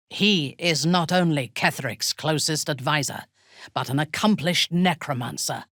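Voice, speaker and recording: A woman playing a haughty, ruthless drow warrior in a video game, with a husky, breathy voice and formal, imperious diction. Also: low voice, fairly bright tone, no room sound, clean studio sound, full character voice. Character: haughty, ruthless female drow warrior, devout and coldly seductive. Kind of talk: video game character acting.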